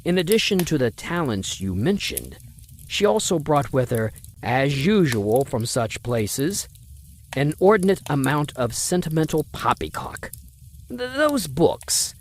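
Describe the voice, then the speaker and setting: A man's voice: fairly high pitch, very animated, a little slow, slight background noise. A man playing a stern, condescending wealthy father who refuses a suitor, in an old-time radio drama. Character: stern wealthy older father, Mister Spencer. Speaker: voice actor.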